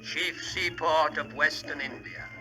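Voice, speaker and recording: Slow, grandiose travelogue narrator. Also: male voice, on the high side, steady tone, bright, forceful tone, faint music behind, low-quality recording. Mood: solemn lecturing. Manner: declamatory, deliberate. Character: grandiose, solemn male television travelogue narrator.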